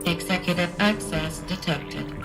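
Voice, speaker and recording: A woman's voice: on the low side, unhurried. A woman voicing an impassive computer announcement system in a video game, formal and impersonal. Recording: music clearly behind the voice.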